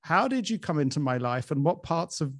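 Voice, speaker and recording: A man's voice: a little high, very animated, brisk. A man hosting an interview, introducing and questioning his guest in a friendly, gracious way. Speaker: host. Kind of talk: casual interview conversation.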